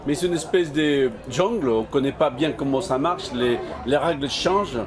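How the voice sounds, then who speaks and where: A man's voice: on the high side, moderate pace, crowd noise. A man working as a local web TV interviewer, asking questions on location at a community fair. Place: community associations forum in a sports hall. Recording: music playing behind, busy event. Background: music.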